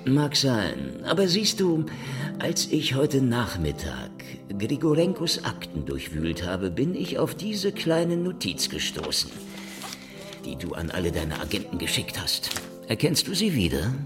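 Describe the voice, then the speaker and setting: An actor in a German radio drama playing a world-weary, sardonic police investigator who speaks and narrates with dry, insolent irony. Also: male voice, lowish voice, huge pitch swings, measured pace, over music, full character voice. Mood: dry, casual. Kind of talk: German radio drama acting with hard-boiled first-person narration.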